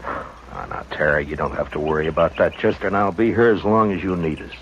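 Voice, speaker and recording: An American actor playing a stern, weary, protective Old West marshal in a 1950s radio western, speaking in a terse, measured way. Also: male voice, sits low. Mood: gentle, tired. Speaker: lead actor and first-person narrator. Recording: muffled old radio recording, heavy noise.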